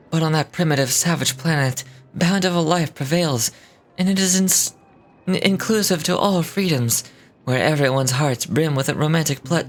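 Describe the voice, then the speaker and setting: Woman voicing a low-pitched, florid, poetic dreamweaver man. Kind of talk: reading game dialogue aloud.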